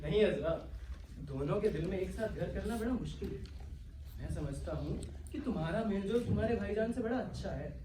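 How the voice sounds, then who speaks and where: An actor playing an ardent young servant in love in a stage play, earnest and declamatory with florid romantic delivery. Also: male voice, sits high, expressive, normal speed. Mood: scheming, animated. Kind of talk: Hindi-Urdu stage play acting. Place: stage of a theatre hall. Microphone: far from the actors. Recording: echoey hall, distant mic, faint noise.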